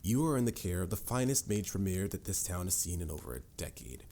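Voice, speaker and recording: Deep, mellow mage mentor, acted by a man. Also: expressive, quick pace, a little hiss or hum. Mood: storytelling, confident. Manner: instructive, theatrical, slightly formal. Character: confident adult male mage mentor, patient, boastful, encouraging. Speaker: voice actor. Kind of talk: scripted fantasy audio roleplay. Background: music.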